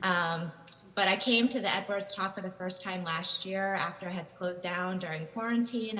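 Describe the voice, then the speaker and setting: A woman's voice: fairly low pitch, fairly bright tone, slight background noise, poor audio. A woman in her forties, from Taiwan, gives a conversational lecture over a video call from home, with frequent ums and restarts. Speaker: guest lecturer. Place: home room with bookshelves. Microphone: video call webcam.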